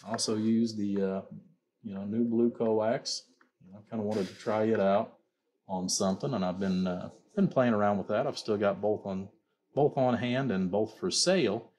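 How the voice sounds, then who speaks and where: Really deep voiced guy doing a casual demo of stuff he built, rambly, lots of you know and like i said. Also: moderate pace, a little mellow. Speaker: amplifier builder and seller presenting his work. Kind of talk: informal product demonstration.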